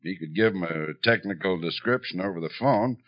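An actor playing a folksy, steady small-town police chief in a 1950s radio drama. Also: male voice, deep voice, brisk, lightly acted. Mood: steady, businesslike. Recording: dull lo-fi 1950s radio recording.

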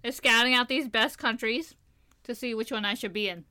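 A woman's voice: fairly high pitch, moderate pace, bright, forceful tone. A woman co-hosting a podcast, chatting casually in a playful, giggly, rambling way. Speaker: podcast co-host. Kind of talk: casual chat. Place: Japan.

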